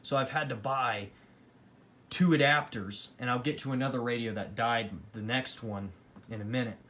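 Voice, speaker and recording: A man talks through a casual hobbyist review at a mid pitch, with some noise on the recording. He rambles a little and states his opinions plainly and matter-of-factly. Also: normal speed, a bit bright. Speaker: hobbyist collector presenting weather radios.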